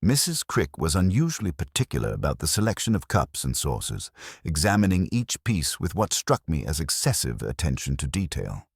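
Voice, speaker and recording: Man voicing a prim, very deep-toned civic lady. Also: wildly swooping pitch, normal speed, vocal fry, husky, no echo, studio quality, heavily acted. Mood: earnest, confiding. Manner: formal, prim, exact wording. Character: fussy, precise civic-circle woman confessing to a police inspector.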